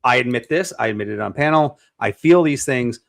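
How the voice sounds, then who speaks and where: A man's voice: medium pitch, animated, a little fast, vocal fry, no room sound, clean studio sound. Encouraging man coaching on a panel. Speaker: panel member. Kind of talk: casual panel talk.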